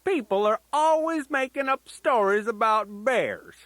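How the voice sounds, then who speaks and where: A man's voice: very high-pitched, lively intonation, unhurried, a bit bright, dry recording, full character voice. A man voicing a folksy, playful old grandfather teddy bear who rambles in a children's storytelling tape. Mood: cranky, amused. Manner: folksy, rambling, sing-song rhyming asides.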